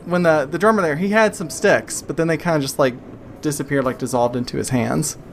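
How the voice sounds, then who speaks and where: A woman's voice: sits low, fairly quick, slight background noise. A woman working as game master, improvising narration in a casual, hesitant, conversational way. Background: faint music.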